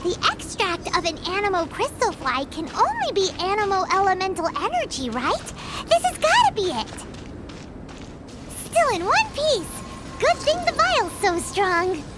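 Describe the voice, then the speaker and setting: A tiny, easily indignant fairy sidekick squeaks in an extremely high childlike voice. The delivery is excitable and bounces widely in pitch. It is anime game dialogue over prominent music. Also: female voice, unhurried, heavily acted. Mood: excited. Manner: speaks of herself in the third person, excitable. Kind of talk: anime-style video game voice acting. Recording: music behind the voice.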